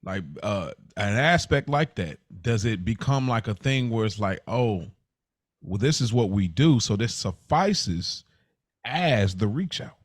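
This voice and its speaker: Low American male voice, halting and informal. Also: lively intonation, no room sound, clean studio sound. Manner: halting, informal, thinking aloud. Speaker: podcast co-host. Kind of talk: casual chat, probing questions.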